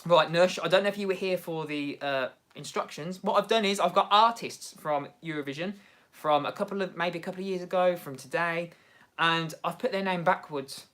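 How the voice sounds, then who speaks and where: A man with an English Midlands accent hosting a casual livestream from home, chatting excitably and laughing often as he answers viewers. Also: sits high, moderate pace. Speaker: livestream host. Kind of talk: casual livestream chat reading viewer comments.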